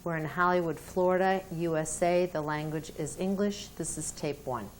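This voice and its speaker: A woman conducting an oral history interview, asking calm, methodical questions. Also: fairly low pitch, moderate pace, a little hiss or hum.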